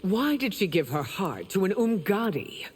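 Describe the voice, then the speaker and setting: A woman performs a proud, stern game empress with formal, imperious diction. Her delivery swings widely in pitch. The voice rings in long reverb over noticeable noise. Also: sing-song, normal speed, darkish tone, natural voice. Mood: stern, measured. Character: regal, stern empress and mother, proud ruler. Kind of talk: dramatic video game dialogue.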